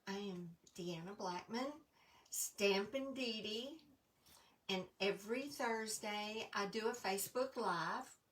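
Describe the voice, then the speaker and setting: A middle-aged woman with a stuffy, congested voice, chatting casually to viewers, with lots of ums and throat clearing. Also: mid-range voice, takes it slowly, fairly bright tone, far from the mic. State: congested from allergies. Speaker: crafting demonstrator. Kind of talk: casual live-stream chat.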